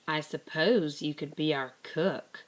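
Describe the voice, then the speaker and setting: A woman voicing gruff, bossy young boys who scorn girls, in a children's storybook. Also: low voice, takes it slowly. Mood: grudging. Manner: snarling, bossy, grudging. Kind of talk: children's storybook character voices.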